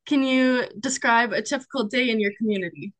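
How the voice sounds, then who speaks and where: A woman's voice: fairly high pitch, a little fast, a bit bright, no echo, rough recording. A young woman, a student conducting a class interview, reading a formal opening and then chatting in a friendly way. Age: young adult. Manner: friendly, scripted intro then chatty, laughs often.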